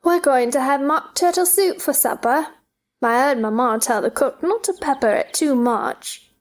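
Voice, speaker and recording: A curious little girl as a woman acts her out chatters away in a high voice. She is eager, imaginative and childlike, made for a storybook play. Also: normal speed, natural voice. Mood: wondering, eager.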